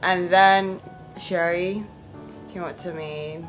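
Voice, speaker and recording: A woman's voice: lowish voice, fairly even intonation, takes it slowly, faint music behind, rough recording. A woman in her twenties, an actress answering interview questions in a rambling way, full of "like" and "you know". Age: 20s.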